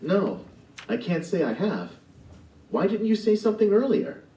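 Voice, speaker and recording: A man's voice: a little high, huge pitch swings, measured pace, dark tone, far from the mic, pitched above the performer's own voice, full character voice. A man voicing a cheerful, wide-eyed young man in a storybook play, recorded in a roomy space away from the mic. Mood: eager. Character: eager naive young man hero.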